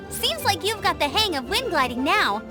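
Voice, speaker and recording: A woman's voice: very high voice, exaggerated voice. An actress playing a cheerful young female outrider who talks in an upbeat, encouraging and slightly flustered way, with game music behind her. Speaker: outrider and gliding instructor.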